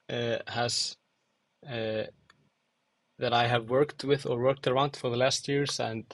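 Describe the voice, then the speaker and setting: A young man from Iceland and Angola answering interview questions thoughtfully, with many fillers and pauses. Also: medium pitch, no echo. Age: young adult. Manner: hesitant, many fillers and restarts. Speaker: podcast guest, musician and designer.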